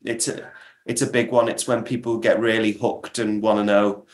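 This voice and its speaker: A man's voice: fairly low pitch, flat delivery, normal speed. A man speaking as an interview guest, explaining his work as a producer in casual talk. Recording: roomy, echoey sound.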